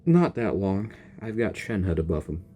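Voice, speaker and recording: A slow-paced streamer reacts casually to a game in a soft-edged, mellow voice. The pitch jumps around expressively through slangy, exasperated remarks. There is faint noise behind the close-miked voice. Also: very animated.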